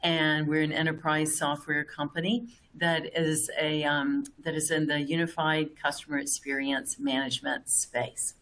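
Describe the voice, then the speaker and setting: A woman's voice: low-pitched, little pitch movement, moderate pace, few pauses, a bit bright. A woman HR leader introducing herself on a panel, earnest and often repeating words.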